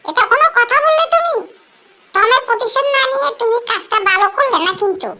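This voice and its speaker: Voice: extremely high pitch, animated, quick pace, very noisy. A performer with a woman-like voice plays a whiny, stubborn little mouse child in a cartoon dub, pleading in a childlike way. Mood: indignant.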